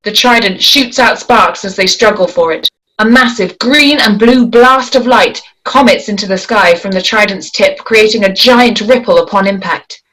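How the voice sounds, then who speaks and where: A woman's voice: medium pitch, normal speed, few pauses, a bit bright, rough recording. Woman reading stage directions. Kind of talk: table read narration.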